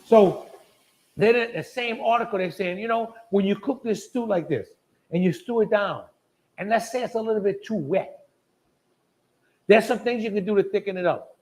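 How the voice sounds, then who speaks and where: A man's voice: high-pitched, moderate pace, pauses often, a little mellow, rough recording. A man hosts a casual live cooking show. He talks in a folksy, chatty way, with plenty of down-home phrasing, as if to regulars.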